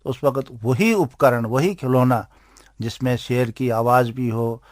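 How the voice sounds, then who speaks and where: Man, Indian accent, mid-pitched voice, deliberate halting delivery, explanatory answers, dry close sound. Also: dry recording. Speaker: interviewed expert on aids for blind people.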